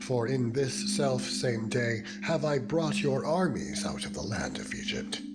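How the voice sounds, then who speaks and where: A man narrating scripture in a solemn, measured and deliberate dramatized reading style. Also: mid-range voice, sing-song. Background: loud meditative music with ringing tones.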